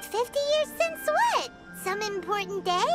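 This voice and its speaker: A tiny floating sprite for a video game, with an extremely high, squeaky child's voice. The character is curious and sweet, heard over prominent music. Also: female voice, big pitch swings, measured pace, heavily acted.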